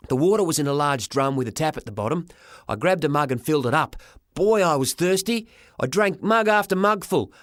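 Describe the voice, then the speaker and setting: A man narrating a children's story in the first person as an expressive audiobook reader. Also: high voice, dry recording, studio quality.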